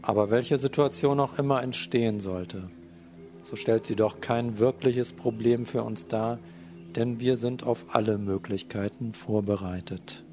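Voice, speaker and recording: A man narrating a scripted spiritual message in a measured, solemn and formal read-aloud manner. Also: on the low side, steady tone, dark tone, a little hiss or hum. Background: music.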